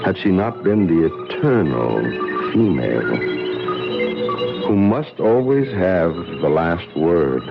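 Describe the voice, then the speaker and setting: An anguished, defiant scientist in an old radio play. He speaks slowly and haltingly, with melodramatic intensity and big swings in pitch. Loud music sits behind him on the dull broadcast recording. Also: sing-song, gravelly, heavily acted. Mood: brooding. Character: anguished male scientist, frightened yet defiant. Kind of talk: 1970s radio drama acting.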